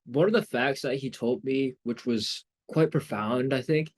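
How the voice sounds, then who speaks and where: A man's voice: medium pitch, unhurried. Young man hosting a student podcast. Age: young adult. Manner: upbeat, often says "absolutely". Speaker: podcast host.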